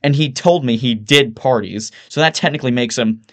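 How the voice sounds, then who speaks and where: A man voicing a smug, lewd, loudmouthed young yuppie with a sneering, sarcastic delivery in an audiobook. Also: mid-range voice, fairly quick, voice pushed up, lightly acted. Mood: loud disbelief. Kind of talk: audiobook character voice.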